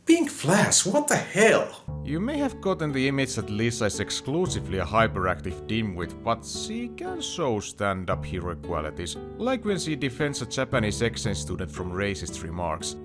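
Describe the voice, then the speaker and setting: Man narrating a review, very animated pitch. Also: normal speed, over music.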